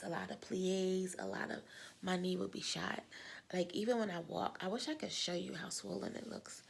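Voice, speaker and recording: A middle-aged woman with a husky voice, coming down with a cold, talking casually and rambling in her vlog. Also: mid-range voice, a little hiss or hum. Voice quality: husky smoker's-like voice. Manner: rambling, repeats words, sighs often. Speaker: vlogger. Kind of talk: casual personal vlog talk.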